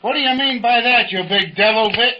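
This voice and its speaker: An actor playing a scrappy, hot-headed, folksy pilot sidekick, blustering in slangy exclamations in 1930s radio serial style. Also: male voice, very high voice, a little fast, faint music behind. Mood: defiant, indignant. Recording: muffled 1930s radio recording.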